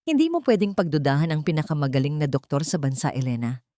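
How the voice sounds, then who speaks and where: A woman's voice: low voice, big pitch swings, quick pace, mellow, dry recording, clean studio sound. A woman voicing a worried, dismissive wealthy husband in an audiobook drama. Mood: firm dismissal.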